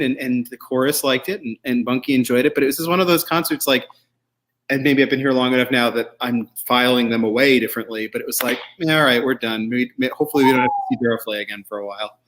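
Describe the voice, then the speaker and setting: A man's voice: medium pitch, a bit bright. A man hosting a casual panel chat about choral music, joking and informal, with strong opinions. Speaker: show host.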